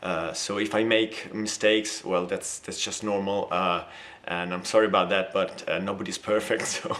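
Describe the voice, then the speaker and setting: Hesitant Italian man, lower voice. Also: level tone, moderate pace. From Italy. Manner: hesitant, many fillers and restarts, with soft laughs. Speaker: language teacher and polyglot. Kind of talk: casual talk to camera.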